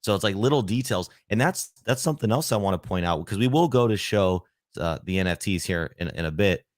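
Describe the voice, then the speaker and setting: A man's voice: fairly low pitch, expressive, brisk, dry recording, studio quality. A man hosting a casual podcast interview, enthusiastic and addressing viewers directly. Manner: enthusiastic, praising, talks to viewers. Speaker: podcast host.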